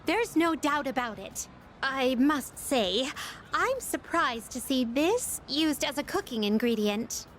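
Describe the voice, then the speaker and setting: A woman's voice: sits high, sing-song, takes it slowly, a bit bright, a little hiss or hum, exaggerated voice. A woman playing an elegant, formal and naively curious young lady in a video game, speaking in ornate, precise words. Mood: fascinated.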